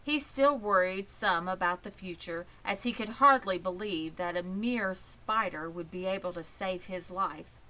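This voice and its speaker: A woman narrating a children's story in an expressive, measured way. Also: on the low side, poor audio. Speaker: audiobook reader.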